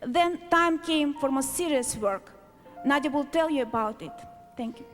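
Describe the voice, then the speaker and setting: A woman from Azerbaijan giving a prepared testimony to a congregation, speaking deliberately in short, emphatic phrases. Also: high voice, fairly bright tone. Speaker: church member giving a testimony. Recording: echoey hall, long reverb, music.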